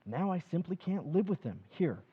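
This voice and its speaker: A man playing an anxious, fretful middle-aged husband in an old-time radio play, with a husky voice. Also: sits high, big pitch swings, moderate pace, phone-quality sound, exaggerated voice. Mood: remorseful.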